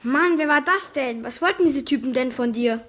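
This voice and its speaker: A woman playing an excitable, slangy schoolboy pal in a children's radio play. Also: high voice, moderate pace. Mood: puzzled.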